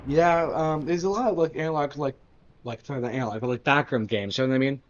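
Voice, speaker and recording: A young man co-hosting a podcast, talking in a halting way full of fillers like "like" and "you know what I mean". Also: mid-range voice, lo-fi sound. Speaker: podcast co-host. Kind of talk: casual chat.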